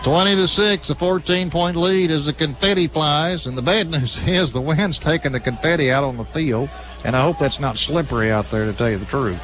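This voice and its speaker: A man's voice: on the high side, a little fast, fairly bright tone, rough recording. An American man giving live football play-by-play as a radio-style sports announcer at a game. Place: live at a high school football stadium.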